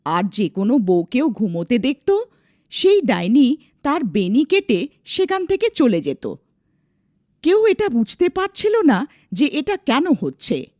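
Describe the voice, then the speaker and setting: A woman's voice: a little high, very animated, unhurried, a little mellow, no room sound, studio quality. A woman storyteller giving a scripted, dramatic narration of a folk tale.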